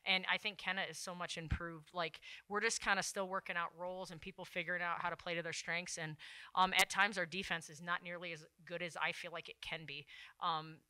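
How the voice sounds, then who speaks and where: A woman in her thirties fields questions after a game, seated at a table mic in a press room. Her voice is very bright and crisp, recorded close and dry. She talks in an earnest, reflective way with many "you know"s. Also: fairly low pitch, little pitch movement, fairly quick, dry recording. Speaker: head coach. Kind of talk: postgame press conference. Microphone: table mic on stand.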